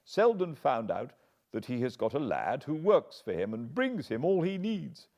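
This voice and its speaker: A man's voice: medium pitch, big pitch swings, a little slow, a little mellow, voice pushed up. An English man voicing an anxious, earnest older butler who pleads and frets. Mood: confiding. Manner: agitated, pleading.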